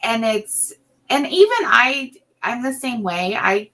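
A woman's voice: on the high side, very animated, slow pace, pushed and bright. A middle-aged woman co-hosting a podcast, chatting in a rambling way with many restarts and ums. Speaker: co-host and assistant. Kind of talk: casual podcast chat.

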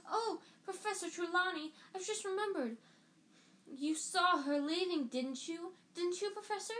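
A woman voicing an excitable, starstruck schoolgirl who gushes in shrill exclamations. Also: a little slow. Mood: gushing.

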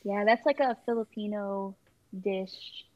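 A woman's voice: mid-range voice, measured pace, a little mellow, dry recording. A young woman chatting casually on a livestream, talkative, with plenty of fillers. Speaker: stream co-host. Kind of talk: casual chat with friends on a livestream. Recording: dull, dry sound.

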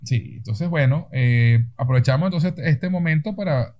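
Guy cohosting a chat show, keeps stammering, dark mellow voice, dull lo-fi audio. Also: on the high side. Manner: halting, stammering on repeated words. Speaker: podcast co-host. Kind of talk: casual chat.

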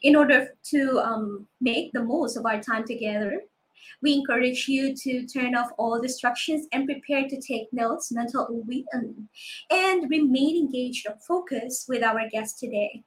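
A woman's voice: sits high, unhurried, rough recording. A woman from the Philippines, hosting a live-streamed talk show with frequent ums and restarts. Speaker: host.

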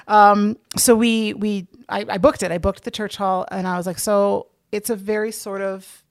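A woman's voice: mid-range voice, steady tone, moderate pace, no echo. A woman chatting casually as a guest, telling stories in an emphatic way and repeating herself for effect. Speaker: guest, organiser of play table readings. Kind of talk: casual chat.

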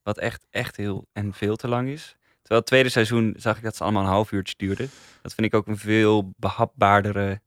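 Low-voiced man, dry studio chat. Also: level tone, normal speed, hardly any pauses, no echo. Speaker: podcast review co-host.

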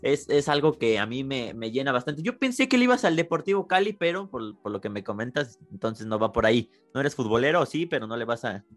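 A man's voice: medium pitch, very animated, a little fast, few pauses, faint music behind. A Mexican man with a Mexican accent hosting a podcast interview in an upbeat, informal way. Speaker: podcast host. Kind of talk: casual interview.

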